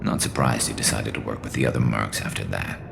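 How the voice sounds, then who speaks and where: A battle-worn alien ex-cop turned vigilante in a video game. The voice is rock-bottom low and full of fry. Delivery is dry, clipped and wry, with music playing behind it. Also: male voice, fairly even intonation, a little fast, full character voice. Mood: grim, matter-of-fact. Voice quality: gravelly, very deep.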